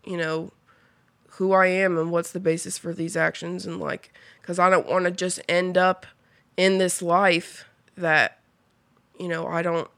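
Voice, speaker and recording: A woman's voice: on the low side, level tone, measured pace, gravelly, a bit bright, no echo. A woman of twenty-five, a podcast guest, telling her story in a rambling, casual way, full of fillers and the odd swear word.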